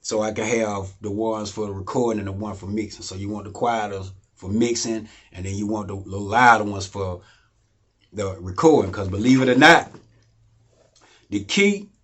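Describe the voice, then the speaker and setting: Deep-voiced man rambling about studio gear. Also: moderate pace, a bit bright. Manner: loose, rambling, repeats phrases, asks "you know what I mean?". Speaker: home studio instructor. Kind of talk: casual how-to talk. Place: home studio room.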